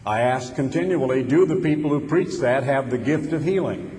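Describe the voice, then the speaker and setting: A man's voice: fairly high pitch, darkish tone. A man preaching a sermon, building his points with rhetorical questions and sudden emphatic rises. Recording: long hall reverb with faint ambient noise.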